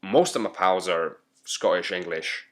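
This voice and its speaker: A man's voice: sits low, lively intonation, a little slow. A man hosting a podcast, chatting casually and joking, with long rambling questions. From Hungary. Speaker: podcast host. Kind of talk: casual interview chat.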